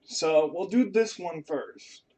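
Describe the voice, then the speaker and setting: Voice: medium pitch, expressive, slow pace, dark tone. A gamer giving casual commentary while playing a video game. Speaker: gaming streamer.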